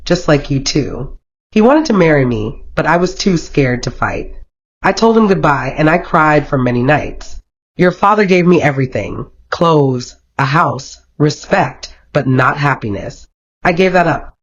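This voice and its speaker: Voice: medium pitch, animated, measured pace. A narrator acts out a middle-aged mother in a folktale. She confides with sorrow and regret. The sound is dull and lo-fi. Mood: wistful regret.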